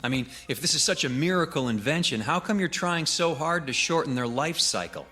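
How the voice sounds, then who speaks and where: A man's voice: a little high, animated, brisk, sharp tone, soft background music. An actor playing a probing, stubborn investigator in a film drama, informal and sardonic as he presses his questions.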